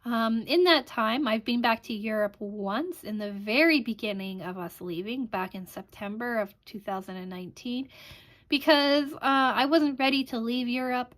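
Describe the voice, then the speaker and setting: A woman in her thirties from Canada, hosting a crafting channel and chatting casually about her life, rambling with frequent ums. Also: medium pitch. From Canada (Alberta). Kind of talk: casual vlog chat.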